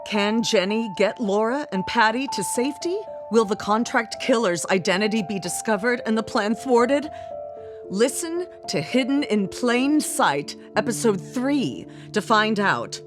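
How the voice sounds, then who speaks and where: A woman's voice: a little high, expressive, measured pace. A woman announcer reading a scripted radio drama outro and credits. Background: music.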